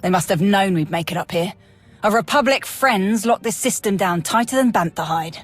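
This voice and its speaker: A woman's voice: medium pitch, animated, moderate pace, faint music behind, natural voice. A woman playing a cocky, wisecracking Imperial military officer in a video game, with a breezy, plain-spoken, sardonic manner.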